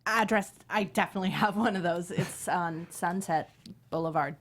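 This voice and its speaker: A woman's voice: fairly low pitch, normal speed, natural voice. A woman acting an awkward, evasive young woman down on her luck, hesitant and bluffing, in a script table read.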